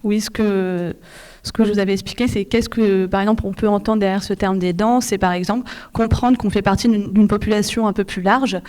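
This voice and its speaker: A woman interviewing a guest at a public event, asking formal, measured questions. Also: medium pitch, fairly even intonation, a little mellow.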